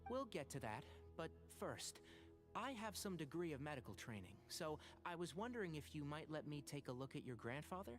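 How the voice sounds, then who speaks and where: A man voicing a calm, educated healer in a video game, speaking in a careful, articulate and tactful way. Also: fairly high pitch, a little fast, music in the background. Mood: calm, grave.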